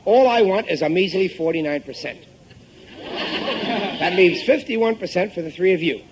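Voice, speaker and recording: Middle-aged American father, high voice, blustering, self-important, scheming, vintage radio sitcom. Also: lively intonation, normal speed, noisy background. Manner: blustering, exclaiming, mangles big words. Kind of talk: 1950s American radio comedy acting. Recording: old-time radio recording from tape.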